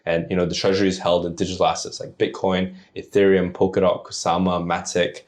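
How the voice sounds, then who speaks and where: A man from Singapore speaks in a deep, low voice as a podcast guest. He explains things persuasively and at length, stacking one example on another in long lists. His delivery sounds like a relaxed interview conversation. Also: quick pace. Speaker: guest, tech founder.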